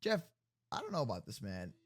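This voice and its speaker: A man improvising game roleplay commentary, animated and slangy, reacting aloud as he goes. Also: mid-range voice, fairly quick. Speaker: gaming video host.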